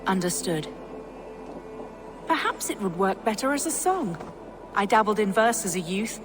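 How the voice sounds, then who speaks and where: A woman playing a pious, bookish English abbess in a historical video game, speaking formally and with measured courtesy. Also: medium pitch, big pitch swings, over music, natural voice. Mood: thoughtful. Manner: formal, measured, archaic phrasing.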